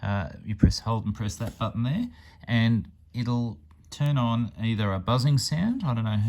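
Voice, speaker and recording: A man's voice: mid-range voice, lively intonation, moderate pace, darkish tone. A man giving a casual, plain-spoken hands-on product demonstration as a hobbyist reviewer. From Australia.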